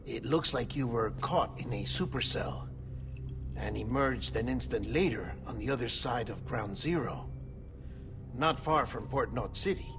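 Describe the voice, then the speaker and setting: An actor playing an earnest male scientist who explains things in a measured way. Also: medium pitch, lively intonation, noisy background.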